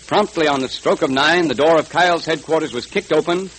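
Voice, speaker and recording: A man's voice: a little high, a little fast. Emphatic old-time radio announcer man. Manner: emphatic, polished salesmanship. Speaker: announcer and narrator. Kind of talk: 1940s radio advertising and story narration. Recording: crackly old radio recording, steady hiss.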